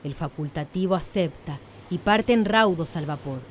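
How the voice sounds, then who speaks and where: A woman's voice: lowish voice, normal speed, slight background noise. A woman narrating a scripted radio drama in a solemn storytelling manner. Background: faint music.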